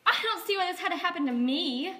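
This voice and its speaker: A woman's voice: very high voice, lively intonation, quick pace, sharp tone, exaggerated voice. A woman voicing a spiteful, self-pitying second wife in an amateur scripted film, whiny and snappish.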